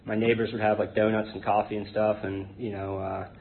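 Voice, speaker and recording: An American man in his thirties speaking informally and haltingly, giving a personal testimony to a group. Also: on the low side, flat delivery. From born in Hong Kong to an English identity, raised in Connecticut, United States. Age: 30s. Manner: hesitant, halting, rambling. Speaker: guest speaker at an Alcoholics Anonymous meeting. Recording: tinny phone-like band, noisy background.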